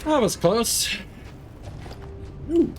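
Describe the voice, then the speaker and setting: A man's voice: very high-pitched, very animated, very slow, over loud music. A man giving casual live video game commentary, thinking aloud and swearing now and then.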